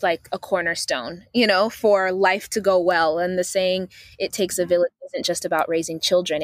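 Woman from Uganda, host in casual conversation, reflective and playful, low voice. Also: no echo.

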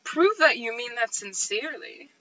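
A woman playing a smooth-talking gentleman suitor in a cold read of a comic play, soothing and ingratiating. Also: on the high side, expressive, normal speed, dry recording, muffled recording. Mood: wooing.

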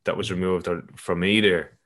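Low, flat-voiced Irish man. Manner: halting, loose phrasing with many fillers. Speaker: podcast host. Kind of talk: casual reflective conversation.

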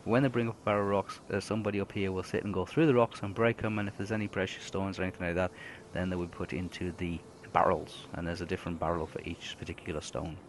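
Low-voiced man running a fantasy game. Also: little pitch movement, slight background noise. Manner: rambling, halting with many fillers. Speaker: game master. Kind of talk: narrating a fantasy tabletop game. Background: music.

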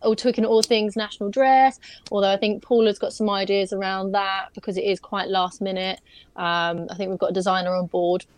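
A woman's voice: medium pitch, steady tone, fairly quick. A young woman, a pageant stylist, chatting casually on a video call podcast, rambling with lots of fillers and restarts.

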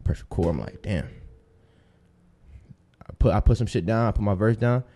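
A man's voice: lowish voice, normal speed, dark tone. A young American man of about twenty-one chatting casually as a podcast guest, telling stories in informal street slang. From Tampa, Florida, United States. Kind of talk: casual chat, storytelling.

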